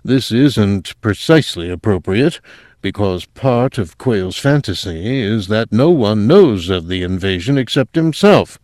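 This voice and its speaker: A man's voice: on the low side, animated, unhurried, darkish tone, dry recording, clean studio sound. A man voicing a fussy, self-satisfied technician who explains things pedantically in an audiobook. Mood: wry, explanatory. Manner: pedantic, explanatory, hesitant throat-clearing. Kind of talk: audiobook character voice.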